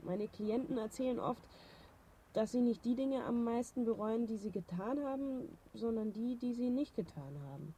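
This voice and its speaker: An actress playing a sensible, sceptical but loyal young adult daughter, measured and firm, in a German radio drama. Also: female voice, on the high side, mellow, slightly rough. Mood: sceptical, thoughtful.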